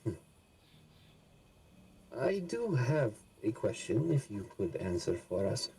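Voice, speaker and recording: A man speaking in character as a player, with a formal, slow and measured manner. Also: mid-range voice, very animated, mellow, a little hiss or hum. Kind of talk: in-character roleplay.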